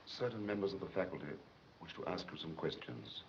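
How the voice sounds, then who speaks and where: An actor playing a reasonable but disapproving school faculty member, formal and drily polite, in an old film drama. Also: male voice, low-pitched, expressive, brisk. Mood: formal reproof. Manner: formal, dry, polite reproof. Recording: dull, noisy old film soundtrack.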